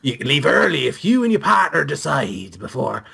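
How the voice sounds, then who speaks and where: A man's voice: medium pitch, big pitch swings, normal speed, vocal fry, bright, forceful tone, a little hiss or hum, heavily acted. A man voicing a growly cooking class teacher in a hammy way for a visual novel game. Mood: gruff, instructing.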